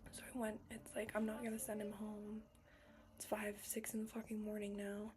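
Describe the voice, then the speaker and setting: A young woman telling a personal story in a hushed whisper, frank and full of swearing. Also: medium pitch, monotone, moderate pace, a crowd in the background.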